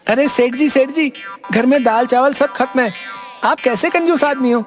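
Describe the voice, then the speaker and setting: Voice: sits high, moderate pace, soft background music, close to an everyday voice. A performer voicing a cheeky young male servant in a cartoon story, exaggeratedly deferential and literal-minded. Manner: exaggeratedly deferential, singsong obedience. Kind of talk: Hindi cartoon story dialogue.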